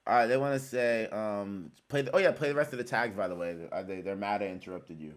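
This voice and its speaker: A man's voice: lowish voice, quick pace. Laid-back slangy man hosting an interview. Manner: laid-back slangy talk, laughs often. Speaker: interview host. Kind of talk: casual livestream interview.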